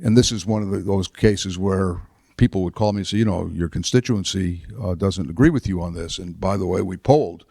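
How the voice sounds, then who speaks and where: Older man, deep gravelly voice, halting. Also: dark tone, dry recording, studio quality. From United States. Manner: halting, full of fillers and restarts. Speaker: author and retired politician, guest speaker. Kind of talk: relaxed storytelling talk. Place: chapel.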